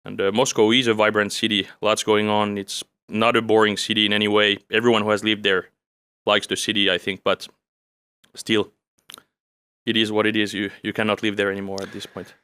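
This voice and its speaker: A young man from Finland who grew up in France, speaking as an interview guest in a calm, measured way with frequent pauses and fillers. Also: fairly low pitch, smooth, dry recording. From Finland, raised in France. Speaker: guest, OSINT analyst. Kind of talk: casual interview conversation.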